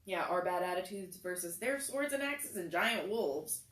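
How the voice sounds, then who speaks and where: A woman's voice: fairly low pitch, animated, normal speed, fairly bright tone. A woman doing a sarcastic teenage boy. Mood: gloomy. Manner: mocking, sarcastic. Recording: roomy echo, faint noise.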